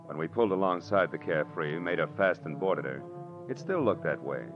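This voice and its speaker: An American actor playing a cocky, wisecracking private detective in 1940s radio noir, with wry, slangy, clipped delivery. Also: male voice, sits low, expressive, a little fast, over music. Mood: brooding narration. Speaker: lead actor and narrator. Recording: dull, noisy old radio recording.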